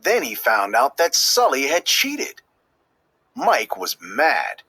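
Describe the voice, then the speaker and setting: A man reading a children's story aloud as scripted narration, with expressive, emphatic delivery that draws out key words. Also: mid-range voice, takes it slowly, breathy edge, dry recording. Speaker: storybook reader.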